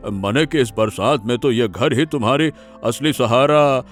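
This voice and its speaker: A man's voice: mid-range voice, unhurried, faint music behind, lightly acted. A man voicing an amazed, chatty village neighbour in a cartoon story. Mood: amazed, admiring. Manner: exclaiming, folksy, questioning. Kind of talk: Hindi cartoon story voice acting.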